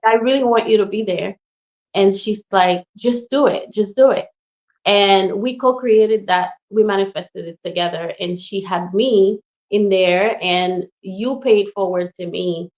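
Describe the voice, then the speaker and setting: A woman in her thirties from Haiti talks as an interview guest in a casual, personal style. Her voice is medium in pitch and her manner is effusive and expressive. The recording sounds a little dull and lo-fi. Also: a little slow. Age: 30s.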